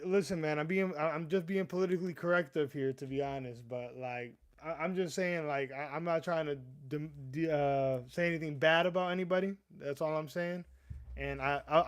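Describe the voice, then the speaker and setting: A young man hosts a casual live stream, talking loosely to his viewers in a slightly high voice. He rambles, stammers, and restarts his sentences often, calling everyone "bro.". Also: clear, resonant tone. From US East Coast. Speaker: livestream host.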